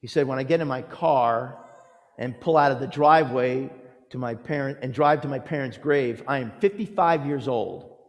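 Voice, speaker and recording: A man preaching a storytelling sermon with a theatrical, emphatic delivery, using dramatic pauses and moving between loud proclamation and hushed lines. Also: medium pitch. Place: large reverberant church or hall. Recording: echoey hall with long reverb.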